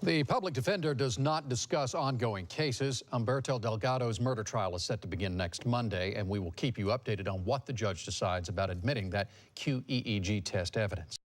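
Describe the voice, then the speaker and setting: A man's voice: lowish voice, brisk, few pauses, no room sound. A man with an American accent reading a scripted television news report as a reporter.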